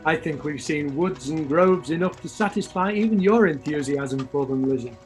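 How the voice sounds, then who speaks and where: An actor playing a genial, courteous middle-aged uncle in a radio play reading. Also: male voice, a little high, moderate pace, soft background music, natural voice.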